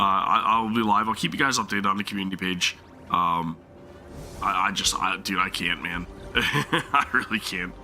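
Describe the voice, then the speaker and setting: Male streamer, losing his voice from a sore throat, rambling and apologetic, very sharp tense tone, faint game music behind. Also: fairly low pitch. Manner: apologetic, rambling, many restarts. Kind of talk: casual live game stream commentary.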